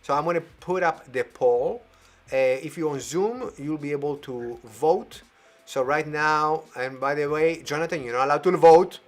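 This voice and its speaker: A man hosts a live show over a video call, his slightly high voice bouncing with play and energy. He laughs through his lines and eggs the audience on. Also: normal speed. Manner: animated, playful, laughs while talking. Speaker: host. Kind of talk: lively game-show hosting on a video call.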